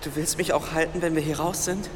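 A man's voice: on the high side, steady tone, fairly quick, slight background noise, natural voice. An actor playing a fearful, devoted young man in a German radio drama, pleading and faltering. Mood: tender, hopeful. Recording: long cavernous reverb, music underneath.

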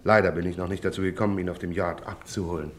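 A man playing a brisk, courteous police inspector with an official manner in a German radio play. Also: sits low, fairly even intonation, lightly acted. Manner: official, polite, giving clipped orders.

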